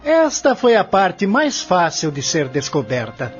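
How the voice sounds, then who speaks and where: A man's voice: high-pitched, wildly swooping pitch, a little slow, heavily acted. A man playing a confident, relentless lawyer in an audio drama, arguing in a formal, articulate, declamatory way. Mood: methodical, confident. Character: confident prosecuting lawyer, relentless, methodical, formal courtroom orator. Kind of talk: audio drama acting, courtroom scene. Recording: dull lo-fi audio with music underneath.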